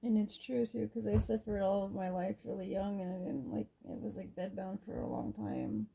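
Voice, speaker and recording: A woman's voice: mid-range voice, normal speed, vocal fry, mellow, breathy edge, low-quality recording. A woman vlogger chatting casually about faith with her partner, opinionated and emphatic, often saying "like". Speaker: vlogger and mother. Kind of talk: casual religious chat with partner.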